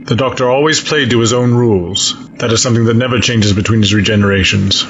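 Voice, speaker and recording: A man playing a stern, coldly logical fleet admiral in an audio drama, speaking in a measured, formal, grave way. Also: on the low side, a bit bright. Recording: dull lo-fi sound, audible noise.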